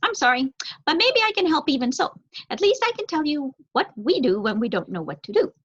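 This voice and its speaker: A woman voicing a young, eager, helpful male crow who is polite, chatty and not very bright, in a storybook reading. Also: sits high, very animated, a little fast, highs cut off, voice pushed up. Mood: earnest, explaining.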